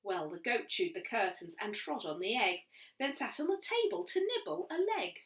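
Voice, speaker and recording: A woman narrates a children's picture book in rhythmic verse. Her voice is high and bright, her delivery expressive and deliberate, and she sounds a little distant from the microphone. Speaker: storyteller reading a children's book aloud.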